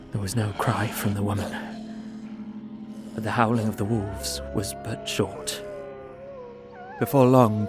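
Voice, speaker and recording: A man playing a frightened, despairing young prisoner who narrates his journal earnestly and intensely in an audio drama. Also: medium pitch. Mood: bleak despair.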